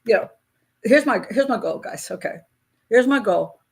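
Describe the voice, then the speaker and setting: A woman's voice: on the high side, animated, normal speed, gravelly, slightly rough. A woman in her sixties hosting a casual live chat with her patrons, talking in a candid, chatty way. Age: 60s. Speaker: host of a crime-profiling channel.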